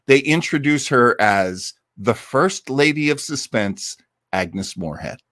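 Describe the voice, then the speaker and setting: A man speaks in a medium-pitched voice, recorded dry with little room sound. He hosts casually, as if chatting with regular listeners. His storytelling is keen and informal. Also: a bit bright, dry recording. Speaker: host of a radio drama listening party livestream. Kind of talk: casual chat to a live audience.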